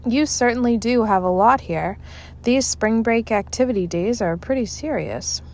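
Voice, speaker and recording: A woman's voice: on the high side, normal speed, a little mellow, natural voice. A woman voicing a mild, curious father in a children's storybook.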